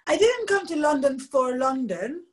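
A woman in her thirties from Luxembourg with a husky voice, telling stories animatedly with frequent laughs over a video call from home. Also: high-pitched, normal speed, slight background noise. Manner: animated storytelling, laughs often. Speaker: musician guest. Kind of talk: casual video call interview. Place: home room, indoors.

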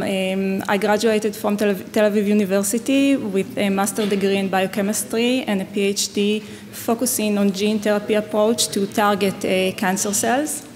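An Israeli woman in her thirties gives an academic talk at a podium microphone, pausing often and filling gaps with small sounds. Her voice carries a long reverb from the lecture hall, with a faint hiss underneath. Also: medium pitch. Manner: hesitant, many fillers. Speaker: scientist giving a conference talk. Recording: echoey hall with faint noise.

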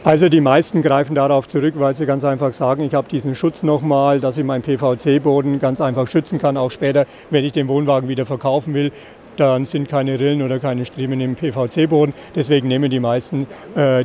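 A middle-aged man explaining product features in an interview, slightly halting and repeating words. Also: medium pitch, flat delivery, warm tone. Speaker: caravan company representative. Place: caravan at an indoor trade fair. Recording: clear background noise of busy trade fair.

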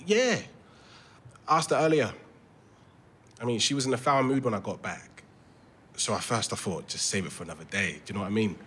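A man's voice: lowish voice, expressive, a little fast, pauses often, fairly bright tone, a little hiss or hum. An actor playing a young man in a naturalistic audio drama, matey and colloquial, prickly with his mum. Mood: upbeat, matey. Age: young adult.

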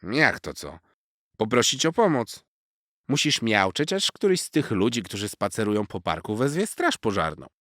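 A man's voice: on the low side, big pitch swings, moderate pace, fairly bright tone, no room sound, clean studio sound, voice pushed up. A man voicing a small know-it-all female squirrel from a children's story, teasing and scolding with lots of emphasis. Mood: teasing, lecturing.

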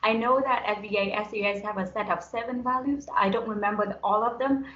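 A woman's voice: medium pitch, fairly even intonation, fairly quick, a bit bright. Young woman, native Vietnamese speaker, conversational guest talk. Roomy, slightly dull video-call sound. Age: young adult. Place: indoors on a group video call.

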